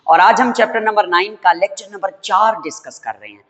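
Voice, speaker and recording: A hoarse man, teaching a chemistry lecture and calling his students children in a fatherly way. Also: high voice, brisk, fairly bright tone, big-room sound, dull audio. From Pakistan. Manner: fatherly, addresses students as children, mixes English terms. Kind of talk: classroom lecture.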